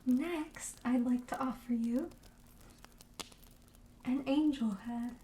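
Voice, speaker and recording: A woman playing a friendly, darkly quirky witch shopkeeper in an ASMR roleplay, speaking softly and breathily with gentle, coaxing sales patter that often drops to a whisper. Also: high voice, slow, echoey room, slight background noise. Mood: sweetly coaxing.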